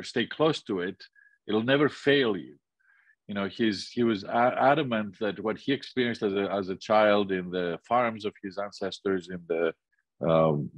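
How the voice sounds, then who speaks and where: Older greek guy teaching architecture on a webcam, lowish voice, dry sound, keeps restarting his sentences. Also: no room sound. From Greece. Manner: thoughtful, many restarts and pauses. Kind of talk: illustrated lecture.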